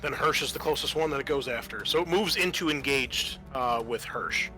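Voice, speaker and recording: A man's voice: medium pitch, moderate pace, rough recording. A man narrating a tabletop roleplaying game as game master, explaining off the cuff with some hesitation. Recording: faint music behind the voice.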